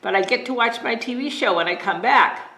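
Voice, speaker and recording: A woman's voice: on the high side, fairly quick, sharp tone. A woman voicing a bargaining little sister girl in a storybook, drawling and reluctant. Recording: roomy echo.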